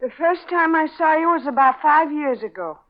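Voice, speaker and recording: A woman's voice: sits high, animated, normal speed. An actress playing an alarmed, defiant woman in a 1940s radio crime thriller, with an emphatic melodramatic delivery. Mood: accusing, hard. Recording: muffled 1940s radio recording.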